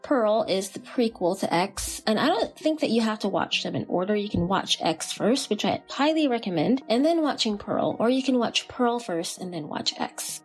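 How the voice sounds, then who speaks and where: Woman, podcast host, casual film chat, informal and emphatic, mid-pitched voice, music behind. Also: poor audio.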